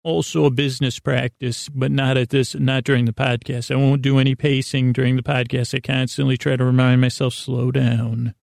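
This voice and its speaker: Very gravelly, meandering male podcaster. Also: mid-range voice, flat delivery, a little mellow, no room sound, clean studio sound. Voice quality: gravelly, creaky. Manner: meandering, halting, stammering, trailing off mid-thought. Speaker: podcast host. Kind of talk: rambling bedtime sleep-podcast monologue.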